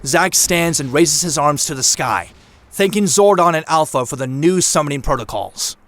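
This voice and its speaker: A man's voice: fairly high pitch, lively intonation, normal speed. A man reading scripted action narration for an audio drama. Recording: loud music bed. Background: loud music.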